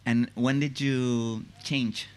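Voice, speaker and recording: A man interviewing a guest on stage, asking questions haltingly with many restarts and fillers. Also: mid-range voice, fairly even intonation, clear, resonant tone.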